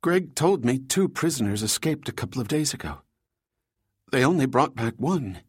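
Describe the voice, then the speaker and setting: A man voicing a startled young woman with a hushed, breathy delivery. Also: mid-range voice, animated, normal speed, no room sound, studio quality, pitched above the performer's own voice. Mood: alarmed.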